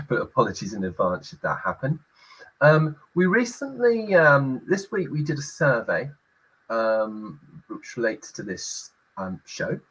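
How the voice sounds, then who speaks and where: A middle-aged man hosting a business talk show, speaking with a croaky voice as he recovers from illness, hesitant with many fillers. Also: mid-range voice, big pitch swings, measured pace, a bit bright, slight background noise, poor audio. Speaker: host.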